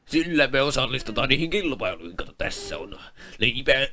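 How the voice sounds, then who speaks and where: A man voicing a bossy, foul-mouthed crook of a father in an amateur comedy cartoon dub, gruff and cajoling. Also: medium pitch, big pitch swings, bright, forceful tone. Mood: bossy, irritated. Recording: noisy home dub with clicks.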